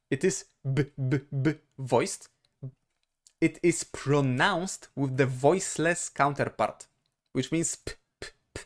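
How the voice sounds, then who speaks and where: Polish man teaching, halting and methodical. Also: mid-range voice. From Poland. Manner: halting, methodical, self-checking with short questions. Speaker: language teacher. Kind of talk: informal grammar lesson.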